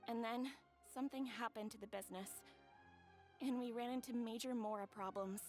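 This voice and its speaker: Woman doing a sweet young bride-to-be, slightly high voice, soft, heartfelt, faint music. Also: fairly even intonation, normal speed, close to an everyday voice. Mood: wistful.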